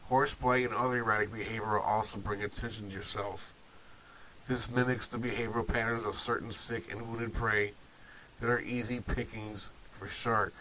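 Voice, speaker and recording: A man's voice: fairly low pitch, fairly even intonation, moderate pace, sharp tone, a little hiss or hum, poor audio. A man hosting a video talk series, sounding nasal from a cold, loose and offhand with asides. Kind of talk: informal talk to camera.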